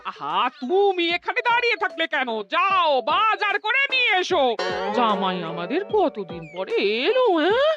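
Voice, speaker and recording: A woman's voice: extremely high pitch, very animated, normal speed, lo-fi sound, loud music behind the voice. A woman playing a doting, bossy middle-aged wife and mother-in-law in a cartoon, fussing and emphatic. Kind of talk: cartoon story acting.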